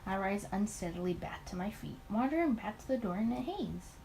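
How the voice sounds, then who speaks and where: Tired, awkward detective narrator for a visual novel , read by a woman, animated intonation. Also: medium pitch, brisk, gravelly, a little hiss or hum, poor audio. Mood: weary, awkward.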